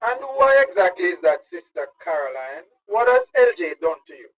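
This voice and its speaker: A man playing a polite, soft-spoken village pastor in a radio drama. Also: sits high, very animated, normal speed, highs cut off. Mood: courteous. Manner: gentle and courteous.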